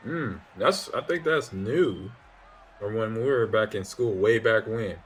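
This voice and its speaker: A middle-aged man giving steady, somewhat rambling analysis as color commentator on a football video game tournament. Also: lowish voice, measured pace. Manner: steady, explanatory, a bit rambling. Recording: faint background noise.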